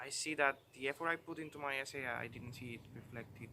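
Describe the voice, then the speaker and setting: A young man, an international student speaking in a measured way. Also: mid-range voice, bright, forceful tone. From Spain. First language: Spanish. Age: young adult. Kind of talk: casual chat. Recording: noisy background.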